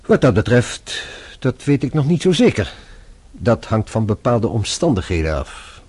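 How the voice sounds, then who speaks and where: A french police inspector in a radio play, very polite and formal, shrewd, keeps probing, medium pitch. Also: male voice, big pitch swings, a little slow, a little mellow, breathy edge. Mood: grave. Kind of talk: radio drama acting.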